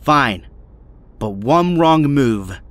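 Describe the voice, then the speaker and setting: A man's voice: medium pitch, lively intonation, very slow, no room sound. A man playing a weary but firm resistance leader in an audio drama. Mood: firm, commanding.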